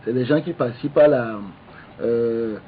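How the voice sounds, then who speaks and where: A man, an opposition politician debating on the radio, halting with many restarts but insistent. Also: mid-range voice, narrow, tinny audio, a little hiss or hum. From Togo.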